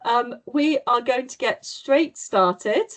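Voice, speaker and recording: A woman's voice: a little high, lively intonation, slow pace, rough recording. A woman hosting a webinar, welcoming the audience and introducing the guest with a few hesitations. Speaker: host.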